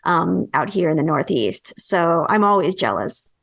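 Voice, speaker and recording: A woman's voice: lowish voice, very animated, unhurried, fairly bright tone. A woman with an American accent speaking as a podcast guest, telling personal stories in a conversational way. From San Francisco Bay Area, United States.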